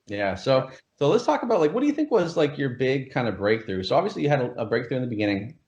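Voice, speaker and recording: Fast-talking man, roomy lo-fi sound. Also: mid-range voice, sing-song, a little mellow. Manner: enthusiastic, filler-heavy ("like", "you know"). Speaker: course creator, interview host. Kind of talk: casual interview over a call.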